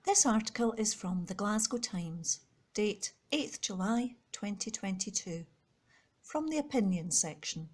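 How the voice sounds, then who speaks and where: Woman with mid-pitched voice reading newspaper aloud. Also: darkish tone, husky. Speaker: volunteer newspaper reader. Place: at home.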